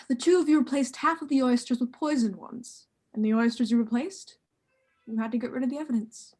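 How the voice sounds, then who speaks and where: A woman playing a courteous, confident male detective in an amateur radio play, speaking in a measured, formal way. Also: a little high, a little mellow, breathy edge. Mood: shrewd, confident.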